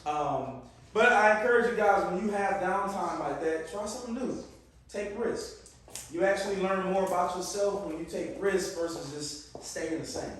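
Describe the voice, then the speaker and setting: A man's voice: high-pitched, normal speed, a bit bright, a little hiss or hum. A man in his forties from Detroit, giving a casual, slangy talk to a youth group and calling on the crowd. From Detroit, Michigan. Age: 40s. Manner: conversational, calls on the crowd, slangy. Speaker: youth speaker. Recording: echoey room, distant mic.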